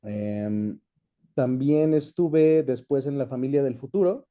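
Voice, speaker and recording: A man's voice: medium pitch, slow pace, lo-fi sound. A young Mexican man chatting easily as an interview guest, reminiscing about his work in a casual way. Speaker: guest, voice actor.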